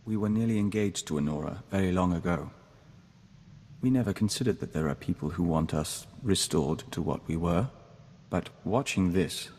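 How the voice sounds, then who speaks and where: An actor playing a gentle, earnest man joined to an insect hive mind, measured and formal in scripted game dialogue. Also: low-pitched, natural voice. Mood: reflective. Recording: noisy game capture with long reverb.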